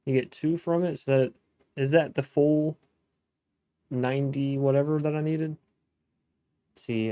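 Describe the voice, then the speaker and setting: A man's voice: mid-range voice, dark tone, no room sound. A man hosting a gameplay video, talking casually to himself as he plays, thinking aloud with restarts. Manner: chatty, thinking aloud, frequent restarts and self-talk. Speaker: gaming let's-play host.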